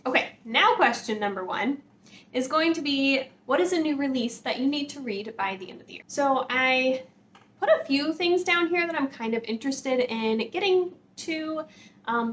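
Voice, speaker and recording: A woman's voice: sits high, a bit bright, a little hiss or hum. A woman hosting a casual, chatty book vlog, talking informally to viewers with rambling, hedging asides. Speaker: book vlogger, channel host.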